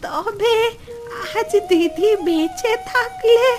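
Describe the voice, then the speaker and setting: Woman voicing old village matriarch, very shrill pitch, unhurried, sentimental, doting, cartoon drama, music underneath. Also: heavily acted. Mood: wistful, tearful. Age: older. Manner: slow, emotional, commanding to servants. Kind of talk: Bengali fairy-tale cartoon acting.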